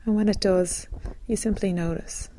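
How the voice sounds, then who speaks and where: A woman leading a guided meditation in a gentle, calm, soothing manner, as a teacher would. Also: medium pitch, moderate pace, a little hiss or hum.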